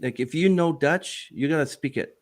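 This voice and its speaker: A man's voice: fairly high pitch, normal speed. A man hosting a podcast interview, rambling and hesitant, full of fillers and restarts. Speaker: podcast host. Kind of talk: casual interview chat.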